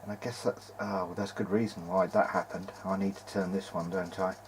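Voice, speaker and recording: Bloke kinda muttering through a repair vid, lowish voice, bit of noise behind him. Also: male voice, little pitch movement, brisk. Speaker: vintage hi-fi hobbyist narrating own repair video. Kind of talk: informal hands-on repair video diary.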